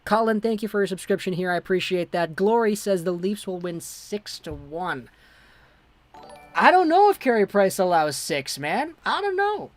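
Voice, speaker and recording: A man's voice: sits high, big pitch swings, moderate pace, no echo, soft background music. Chatty young man hosting a livestream. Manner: chatty, thanks subscribers, reads chat aloud. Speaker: livestream host. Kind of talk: casual live chat, reading viewer messages.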